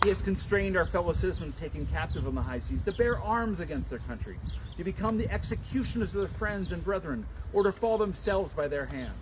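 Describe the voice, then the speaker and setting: High-pitched man declaiming solemnly outdoors. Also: animated. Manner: solemn, declamatory, deliberate phrasing. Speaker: public reader and livestream host. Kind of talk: dramatic reading of a historical document. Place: outdoors at a town memorial. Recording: noisy outdoor livestream, traffic and street sounds.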